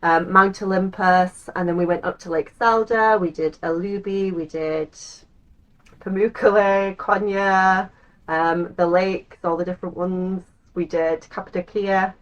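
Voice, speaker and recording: English woman, thirties, lowish voice, chatty vlog host, rambling, casual to camera. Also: fairly even intonation, unhurried, dull audio. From England. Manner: chatty, rambling with frequent ums. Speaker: channel host.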